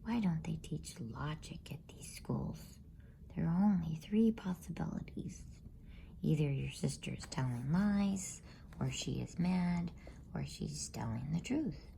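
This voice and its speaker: Storybook elderly professor female reader: musing, logical, breathy, mellow, very unhurried, faintly pedantic. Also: sits low, animated, slight background noise, heavily acted. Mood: musing, deliberate. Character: elderly male professor, calm, logical, gravely deliberate.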